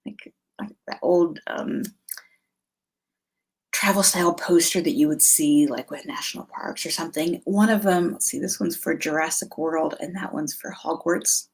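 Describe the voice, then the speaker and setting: A middle-aged woman hosting a vlog, talking casually and conversationally, thinking aloud as she gives recommendations, with a slightly husky voice. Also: sits low, steady tone, moderate pace. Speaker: homeschool vlog host. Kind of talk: casual informal product recommendations to camera.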